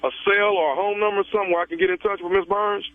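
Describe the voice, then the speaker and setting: Man in his thirties, fairly high voice, casual prank caller who stalls with fillers, heard through a dry tinny phone line. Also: steady tone, dry recording. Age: 30s. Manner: halting, casual, many fillers.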